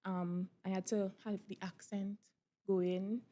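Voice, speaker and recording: A woman's voice: mid-range voice, flat delivery, slow, dark tone, slight background noise. Female performer, medium register, casual interview delivery. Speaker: stage performer being interviewed. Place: interview room off stage.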